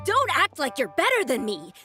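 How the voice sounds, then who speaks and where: A woman's voice: extremely high pitch, pushed and bright, heavily acted. A woman playing a boastful, hot-tempered young female archaeologist in a video game, pompous and easily offended. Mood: angry, challenging. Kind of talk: video game cutscene acting.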